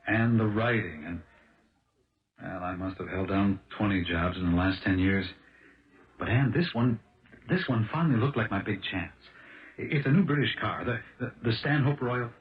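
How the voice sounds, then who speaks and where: Actor as low-voiced impulsive American salesman, old radio. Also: expressive, close to an everyday voice. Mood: rueful, eager. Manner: halting, earnest, restarts phrases. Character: impulsive, fast-talking car salesman protesting his innocence. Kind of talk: 1970s radio drama acting. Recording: dull lo-fi old radio broadcast.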